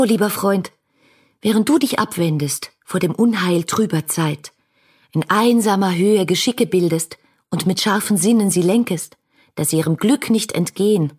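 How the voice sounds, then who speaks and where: Woman playing young romantic in a radio play, breathy husky voice, intense, intimate, poetic. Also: medium pitch, a little mellow, natural voice. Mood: yearning. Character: passionate, romantic young woman writing to her beloved poet. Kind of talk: literary radio play, dramatic monologue.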